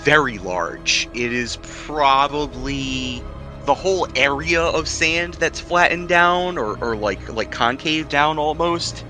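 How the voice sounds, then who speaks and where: A man narrating a tabletop roleplaying game as game master, improvising descriptions in a conversational, halting way. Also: on the high side, bright, forceful tone. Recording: music playing behind the voice.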